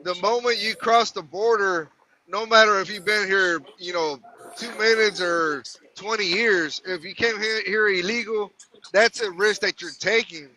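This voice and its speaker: A male caller with a high, bright voice who talks in halting bursts full of "uh"s and restarts. He is raised in the United States and also speaks Spanish. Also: rough recording. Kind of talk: casual call-in chat.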